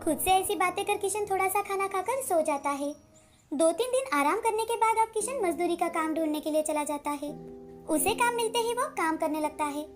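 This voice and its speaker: A woman's voice: very high voice, expressive, moderate pace, over music. A woman reading a scripted story as its narrator.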